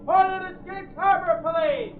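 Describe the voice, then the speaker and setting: An actor playing a street newspaper seller in an old radio drama, calling headlines in a sing-song cry. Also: male voice, extremely high pitch, steady tone, normal speed. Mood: hawking. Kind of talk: 1930s-1940s radio acting. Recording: tinny, echoing, distant old radio.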